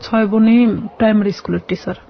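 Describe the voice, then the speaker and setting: A woman with a Bangladeshi accent telling a personal story as a radio guest, halting and repeating phrases as she explains. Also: mid-range voice, fairly even intonation, vocal fry, dark tone. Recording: slightly dull, lo-fi radio sound.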